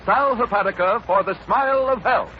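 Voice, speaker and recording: A man's voice: high-pitched, moderate pace. An American man announcing and bantering on a 1930s radio variety show, with a hearty, theatrical delivery. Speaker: radio announcer and comic sidekick. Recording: scratchy, muffled 1930s radio recording.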